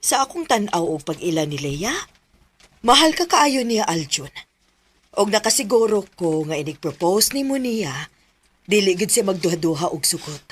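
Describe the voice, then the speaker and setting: An affectionate mother of a grown son, reassuring in radio drama style. The voice is mid-pitched and rough around the edges, with a lively melody. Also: moderate pace.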